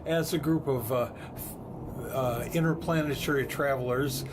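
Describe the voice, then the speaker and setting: An interviewer asks his questions in a halting, filler-heavy way. Clear background noise fills the room around him. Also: medium pitch. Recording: noisy room behind.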